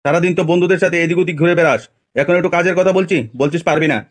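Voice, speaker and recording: A man's voice: sits high, fairly even intonation, rapid-fire. A man voicing a bad-tempered, foul-mouthed village father in an amateur comedy cartoon, scolding and threatening crudely.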